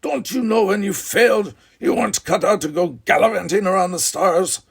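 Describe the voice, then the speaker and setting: A man's voice: lowish voice, expressive, unhurried, a little mellow, husky, full character voice. A man voicing a stern, proud father in an audiobook, speaking in an authoritative, cold way. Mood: furious. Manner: authoritative, cold, bellowing when angered. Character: stern proud older father, commanding vintner. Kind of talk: audiobook character voice.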